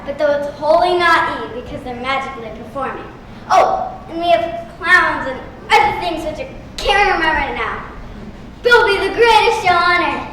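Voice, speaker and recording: A woman's voice: very high-pitched, animated, normal speed, far from the mic. A woman acting a naive, scatterbrained, bubbly girl in an amateur stage comedy. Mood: excited, showy. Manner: bubbly, easily confused. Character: naive, excitable, scatterbrained girl auditioning as ringmaster. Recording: very echoey hall from afar, faint noise.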